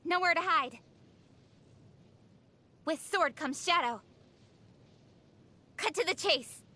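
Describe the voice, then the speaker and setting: An actress playing a cool, brisk young female fighter in clipped video game battle lines. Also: extremely high pitch, animated, sharp tone, exaggerated voice. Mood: brisk, focused. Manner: clipped, terse phrases.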